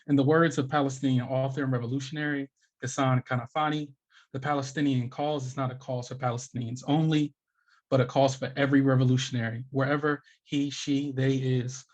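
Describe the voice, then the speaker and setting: A man's voice: medium pitch, flat delivery, brisk, darkish tone. A young adult man, an educator and activist, introducing himself and speaking in advocacy on a panel video call. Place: small room at home, indoors. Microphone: headset on a video call. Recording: dull, lo-fi video call audio.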